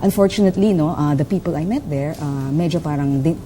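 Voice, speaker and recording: A woman's voice: sits low, fast talker, mellow, slight background noise. A woman life coach with a Filipino accent, explaining her methods in an interview and mixing English with Filipino. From Philippines. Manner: fluent, explanatory, frequent fillers and tag "no?".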